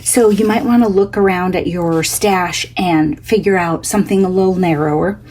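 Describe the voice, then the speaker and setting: A woman's voice: lowish voice, normal speed. Scratchy-voiced woman chatting through a craft tutorial. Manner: chatty, homey, thinks out loud. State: scratchy from a cough. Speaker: craft channel host.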